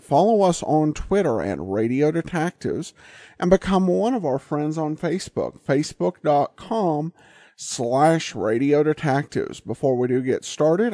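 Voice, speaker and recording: A man's voice: a little high, warm tone, no room sound, studio quality. A man with an American accent, giving a podcast host's introduction to an old radio programme. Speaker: podcast host.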